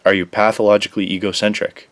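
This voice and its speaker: A man's voice: on the low side, little pitch movement, quick pace, dry recording, lightly acted. An actor playing a clinical, matter-of-fact teenage boy reading out test questions in a detached, formal way in an audio drama.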